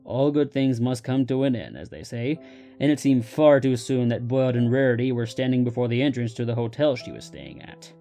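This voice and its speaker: Mellow male story narrator. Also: mid-range voice, brisk, soft background music.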